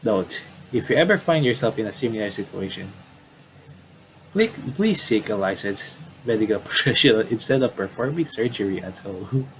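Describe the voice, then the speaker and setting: Low-voiced man commentating over noise. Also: animated, low-quality recording. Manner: rambling, self-correcting, halting. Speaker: gaming video commentator. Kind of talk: casual playthrough commentary, reading game dialogue aloud.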